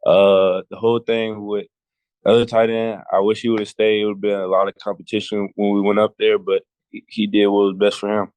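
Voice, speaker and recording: A teenage boy from Georgia, a football recruit answering interview questions politely, in a laid-back and halting way. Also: on the low side, flat delivery, a little mellow, clear, resonant tone, no room sound, rough recording. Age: teens.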